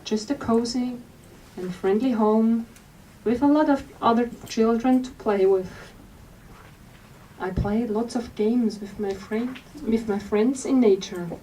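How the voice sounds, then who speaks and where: A woman reads her memoir aloud at a bookstore signing. Her voice sits fairly high yet sounds mellow and warm. She takes her time over every phrase, gentle and unhurried, and she is caught from some way off the mic, with a faint hum of room noise behind. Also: far from the mic, low-quality recording. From Switzerland. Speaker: author.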